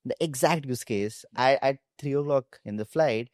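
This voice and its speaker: A man's voice: medium pitch, moderate pace, darkish tone, no room sound, studio quality. A young man with an Indian accent, a founder telling a story casually and acting out the dialogue. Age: young adult. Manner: acts out quoted dialogue. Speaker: startup founder, interview guest.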